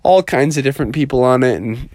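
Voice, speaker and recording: A man's voice: mid-range voice, fast talker, darkish tone, dry recording. A man telling an improvised children's story in a casual, colloquial way.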